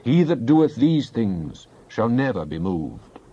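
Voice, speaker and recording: A devout psalmist played by a man prays in a solemn, declamatory style at an ordinary male pitch. The delivery is earnest and deliberate, as in dramatized scripture. The recording is a little dull and lo-fi. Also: sing-song, warm tone, breathy edge, heavily acted. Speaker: scripture reader.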